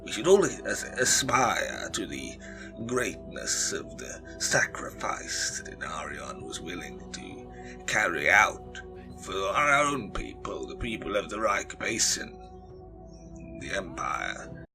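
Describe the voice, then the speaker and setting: A man playing a solemn, reverent orator with a gravelly voice and halting, measured fantasy speech. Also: low-pitched, big pitch swings, music in the background, heavily acted.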